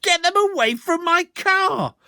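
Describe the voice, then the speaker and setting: A man's voice: very high-pitched, animated, a little slow, bright, forceful tone, dry recording, clean studio sound, voice pushed up, full character voice. A man voicing a panicking rich man who screams and bellows about his car. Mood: furious.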